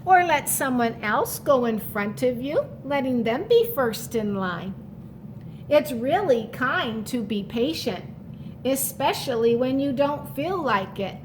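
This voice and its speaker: A woman with a high voice reads a children's picture book over clearly audible background noise. She takes her time with each line. She adds simple, encouraging asides straight to the young listener. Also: animated, takes it slowly, poor audio. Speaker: storytime reader for children.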